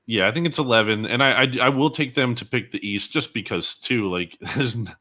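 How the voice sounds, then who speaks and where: A man speaking as a guest sports analyst in a football interview, measured and analytical. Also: medium pitch, sharp tone.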